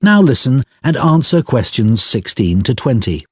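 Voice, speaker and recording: Slightly husky male exam announcer, lively pitch. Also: moderate pace, dry recording, clean studio sound. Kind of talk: scripted exam instructions narration.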